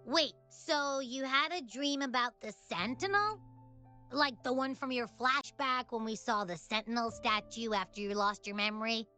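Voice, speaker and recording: High, bubbly, curious game girl. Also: normal speed, soft background music. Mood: amused curiosity. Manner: playful, expressive. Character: bubbly, curious, food-loving girl companion, teasing and friendly. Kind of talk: video game voice acting.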